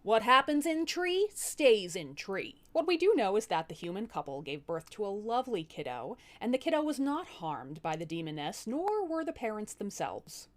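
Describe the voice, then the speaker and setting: A woman's voice: medium pitch, big pitch swings, normal speed, few pauses. A woman narrating a folktale comically, with a wry, irreverent manner and slangy asides. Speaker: storyteller, series host.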